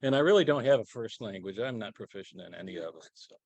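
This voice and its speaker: A man's voice: on the low side, animated, quick pace, vocal fry, no room sound, highs cut off. An older man speaking on a panel as a former minister, in a rambling, self-deprecating way. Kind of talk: panel discussion. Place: event hall.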